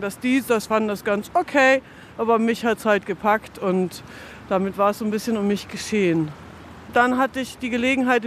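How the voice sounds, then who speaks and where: A woman in her fifties, telling a casual, self-deprecating personal story in an interview. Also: mid-range voice. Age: 50s. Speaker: interviewee, late-starting surfer. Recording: field recording with faint outdoor noise.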